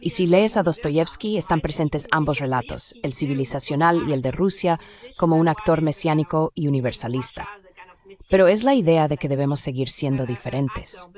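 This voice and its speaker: Low-pitched woman explaining as an expert. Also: normal speed, darkish tone. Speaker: interview guest, academic expert.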